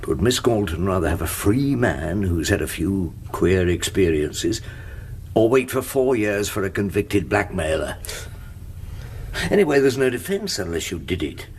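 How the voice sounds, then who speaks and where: An old English defence barrister in a radio drama, with a low voice that moves expressively. He is wry and world-weary, picking out wordy, ironic sentences deliberately. A faint noise sits behind him. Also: a little mellow, exaggerated voice. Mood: probing, blunt. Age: older. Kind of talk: British radio drama narration and dialogue.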